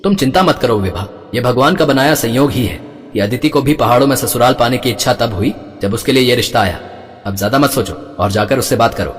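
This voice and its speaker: Casting: a man as reassuring, persuasive husband and father in middle age, mid-pitched, story recording with hall reverb. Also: fairly quick, natural voice. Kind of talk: audio storybook acting.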